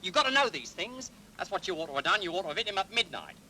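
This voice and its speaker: An actor playing a chatty, grumbling young British army recruit in a 1940s film. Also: male voice, sits high, big pitch swings, fast, sharp tone, slight background noise, exaggerated voice, low-quality recording. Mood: gossiping.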